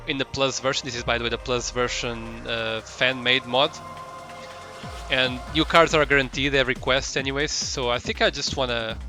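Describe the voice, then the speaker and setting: A man's voice: medium pitch, bright, forceful tone. A man doing casual live commentary as a game streamer, rambling and hesitant as he searches for his words.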